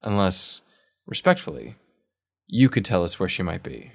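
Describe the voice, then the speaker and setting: A man's voice: deep voice, very animated, moderate pace, gravelly, fairly bright tone, full character voice. A man voicing a serious, respectful and plain-spoken loyal companion in an audio drama. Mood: earnest, grave. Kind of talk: audio fiction character voice.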